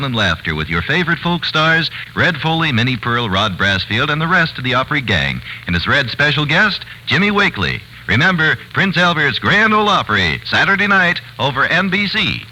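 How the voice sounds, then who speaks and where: American man for 1940s radio commercial: hard bright delivery, lively swoops, slightly noisy vintage sound. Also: mid-range voice, fairly quick, hardly any pauses. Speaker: commercial announcer. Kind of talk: 1940s radio advertising, scripted.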